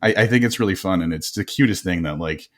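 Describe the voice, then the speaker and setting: Deep-voiced man hosting, chatty and laughing. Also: quick pace. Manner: animated storyteller, laughs often, many restarts. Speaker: podcast host. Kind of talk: casual interview chat.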